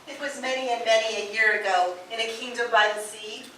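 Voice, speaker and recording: Very echoey, bright woman acting a devoted young wife. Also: on the high side, fairly even intonation, brisk, natural voice. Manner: romantic, earnest declamation. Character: devoted, dreamy, ailing young wife in love. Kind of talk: theatrical dramatic reading of love poems. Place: live performance in a radio station's performance room. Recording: very echoey live room, distant mic, faint noise.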